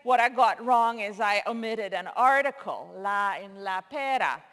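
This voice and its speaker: A woman's voice: mid-range voice, animated, unhurried, a bit bright. A woman who speaks Russian natively, giving a conference lecture in a hall with many fillers and restarts. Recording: long hall reverb.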